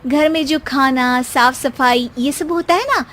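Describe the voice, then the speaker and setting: A woman voicing a tired young housewife in a cartoon who answers her husband with sharp complaints. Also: high voice, measured pace. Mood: complaining.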